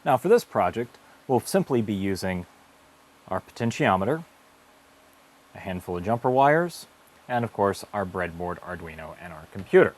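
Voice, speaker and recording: Man, lowish pitch, very varied intonation, scripted tutorial narration, methodical, explanatory, careful phrasing. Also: big pitch swings, moderate pace. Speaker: instructor and host of an electronics tutorial series.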